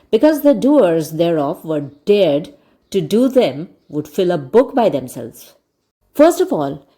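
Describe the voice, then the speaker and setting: A woman narrator reading a classic children's novel aloud in an expressive storybook style. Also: on the low side. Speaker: audiobook narrator.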